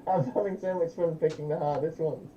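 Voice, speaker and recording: A woman's voice: sits low, fairly even intonation, a little fast, warm tone, dull audio, slight background noise. A woman bantering casually during an online game on a livestream.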